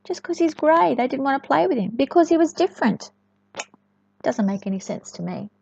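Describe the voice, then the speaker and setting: A woman reading a storybook to young children in an expressive, sing-song way, often chatting and asking the listener questions. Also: sits high, brisk, creaky, a little hiss or hum. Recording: echoey room, dull lo-fi sound.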